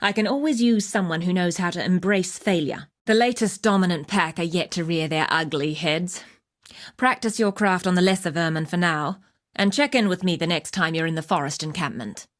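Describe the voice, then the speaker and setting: Low-voiced, wry wilderness huntress played by an actress. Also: female voice, moderate pace, lightly acted. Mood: wry, encouraging. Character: tough, wry wilderness huntress, earthy and purposeful. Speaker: game character voice actor. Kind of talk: video game quest-giver dialogue.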